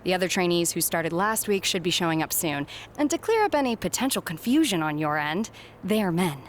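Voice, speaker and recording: A woman's voice: lowish voice, very animated, a little fast, slight background noise. A woman voicing a dry, no-nonsense, exasperated head recruiter in a video game cutscene. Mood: stern, sarcastic. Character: brusque, exasperated female head recruiter at wrestling center.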